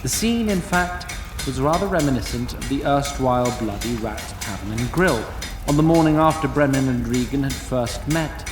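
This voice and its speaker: A man's voice: medium pitch, expressive, moderate pace, noisy background, lightly acted. A man playing the storyteller of a fantasy epic, narrating a script in a wry, literary and formal manner. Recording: echoey with long reverb.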